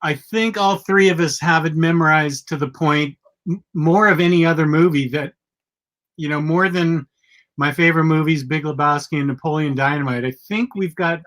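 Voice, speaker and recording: A middle-aged man hosting a live chat show, sick, with a gravelly voice, rambling and correcting himself as he talks. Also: a little high, moderate pace, fairly bright tone. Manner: rambling, self-correcting, chatty. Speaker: podcast host.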